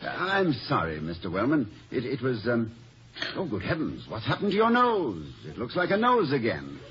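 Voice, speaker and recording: Male for a hesitant, refined professor in 1950s radio drama; highly expressive pitch, muffled broadcast sound. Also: normal speed. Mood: flustered. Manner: stammering, flustered, refined. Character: absent-minded, romantic, hesitant college professor.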